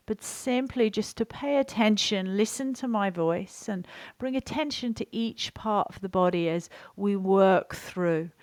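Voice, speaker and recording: A woman with a mid-pitched voice leads a guided body scan as a yoga and meditation teacher. Her delivery is gentle and soothing, unhurried and spaced with pauses. The sound is dry, with very little room around it. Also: clean tone, no room sound.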